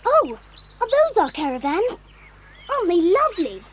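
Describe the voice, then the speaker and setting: An excitable, strong-willed tomboy in a children's radio adventure. The voice is very high and swoops about emphatically, full of youthful eagerness. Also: female voice, moderate pace, noisy background. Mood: excited. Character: eager, strong-willed tomboy girl, devoted to her dog. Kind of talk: children's audio drama acting.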